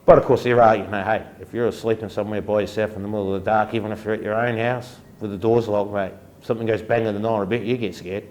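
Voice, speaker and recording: Kiwi guy, lowish voice, blunt and matter of fact. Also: steady tone, fast, few pauses, darkish tone, a little hiss or hum. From New Zealand. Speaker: interviewee. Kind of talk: documentary interview.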